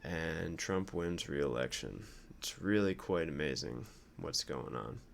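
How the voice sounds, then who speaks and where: A man's voice: rumbling low, animated, slow, creaky. Sardonic man riffing on the news. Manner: sardonic, incredulous, foul-mouthed. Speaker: streamer commenting on news clips. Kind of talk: casual political reaction commentary.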